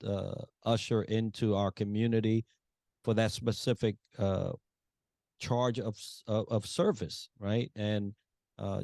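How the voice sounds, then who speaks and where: A man hosting a podcast, asking his guest questions in a measured way with frequent fillers. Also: fairly low pitch, no echo, clean studio sound. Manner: measured, hesitant with frequent fillers, warmly appreciative. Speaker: podcast host. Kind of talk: interviewing a guest.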